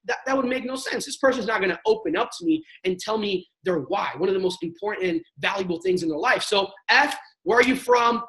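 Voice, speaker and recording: A man's voice: sits high, expressive, brisk, vocal fry, hardly any pauses, a bit bright, poor audio. A young man on a sales panel, giving a motivational training talk in a casual, slangy way and acting out sample chats. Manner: emphatic, coaching delivery. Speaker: network marketing panelist.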